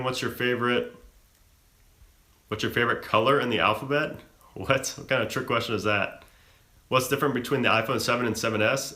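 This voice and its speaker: A man's voice: medium pitch, bright, forceful tone, echoey room. A young man from the American South, hosting a live stream and reading viewer questions aloud before answering them offhand. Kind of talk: casual live Q&A chat.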